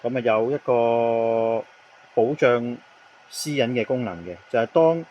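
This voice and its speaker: A man with a Hong Kong Cantonese accent giving an unscripted product demonstration, explaining in halting phrases with English words mixed in. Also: medium pitch, highs cut off, slight background noise.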